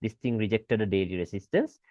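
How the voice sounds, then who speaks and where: A man's voice: lowish voice, expressive, fairly quick, darkish tone, dry recording, muffled recording. A man giving an informal screen-share tutorial as an instructor, repeating his points and often checking understanding with rhetorical questions. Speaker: forex trading instructor.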